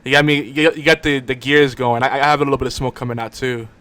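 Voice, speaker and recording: A middle-aged man hosts a casual chat show. His voice sits at a medium pitch and he talks in a loose, bantering way. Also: steady tone, quick pace, fairly bright tone. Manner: joking, bantering. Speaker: podcast host.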